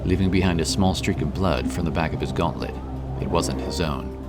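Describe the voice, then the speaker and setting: A man narrates a fantasy audiobook in a dramatic, scripted style. His voice is very low, and loud epic music plays beneath it. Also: fairly quick.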